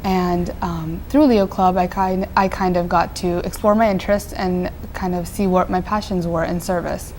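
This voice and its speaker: A woman's voice: fairly low pitch, level tone, normal speed, few pauses, darkish tone, a little hiss or hum. A teenage girl from Nepal, a nonprofit founder answering interview questions earnestly, with frequent fillers and restarts. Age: teens.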